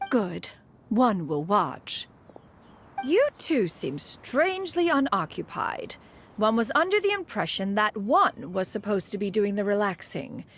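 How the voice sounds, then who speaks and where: A woman's voice: mid-range voice, big pitch swings, unhurried, a little hiss or hum. An actress voicing an ancient, dignified female crane spirit who speaks formally and calls herself "one". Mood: lofty, wise. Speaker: mentor. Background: game soundtrack music.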